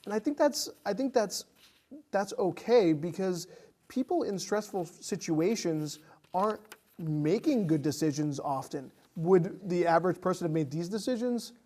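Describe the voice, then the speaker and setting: A man with a voice a bit higher than most talks about movies as a podcast guest. His delivery is casual, measured and somewhat tentative. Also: lively intonation, warm tone.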